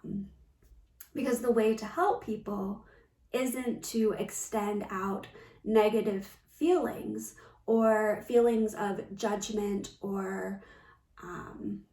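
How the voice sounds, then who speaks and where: A woman giving a reflective spiritual talk as a coach, gentle and earnest, often pausing mid-phrase. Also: medium pitch. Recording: roomy echo, distant from the mic.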